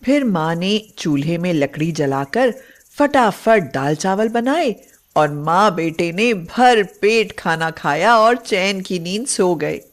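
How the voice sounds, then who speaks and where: A woman narrating a scripted children's moral story in an expressive, dramatic way. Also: mid-range voice.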